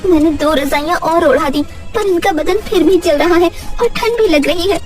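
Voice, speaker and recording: A woman's voice: very high voice, normal speed. A woman playing a worried daughter-in-law in a melodramatic Hindi cartoon, pleading emotionally. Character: worried, distressed daughter-in-law of poor household. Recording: distant voice, music behind.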